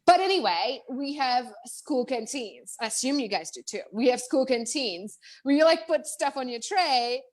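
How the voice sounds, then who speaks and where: A woman with a Czech accent speaks in a fairly high voice, chatting as an interview guest on a live stream. She talks in an animated way, piling up fillers and restarting her sentences as she goes. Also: normal speed, few pauses. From Czech Republic.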